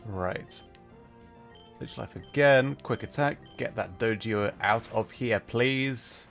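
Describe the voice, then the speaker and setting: A man's voice: mid-range voice, takes it slowly, creaky, a bit bright, faint music behind. A man hosting a casual livestream, rambling thoughtfully as he plays and answers chat, with an easy tendency to chuckle. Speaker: livestreamer and host.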